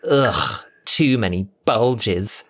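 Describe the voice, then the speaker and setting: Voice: medium pitch, lively intonation, drawn-out words, noisy background. A performer voicing a vain, gushing aristocratic woman who coos theatrically in an audio story. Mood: disgusted. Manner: cooing, theatrical, self-admiring. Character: vain, gushing aristocratic lady in stolen young body. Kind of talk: audiobook fan fiction character voice.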